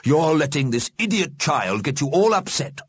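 A man's voice: on the high side, sing-song, moderate pace, breathy edge, no room sound, voice pushed up. A man voicing a stern, sanctimonious dead man who admonishes pompously, in a storybook reading. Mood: admonishing.

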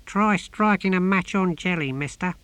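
A man's voice: sits high, normal speed, fairly bright tone, no room sound, voice pushed up. A man voicing an irascible small tortoise god in an audiobook, indignant and given to outbursts. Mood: grumpy.

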